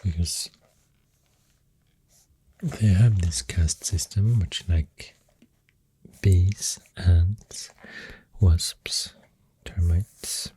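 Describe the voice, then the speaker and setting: An extremely low, mellow voice with a Swedish accent speaks slowly in soft ASMR style. It slips mostly into a breathy whisper, halting and soothing, close to the microphone. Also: low-quality recording. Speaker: ASMR artist drawing while talking. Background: pencil writing and drawing sounds.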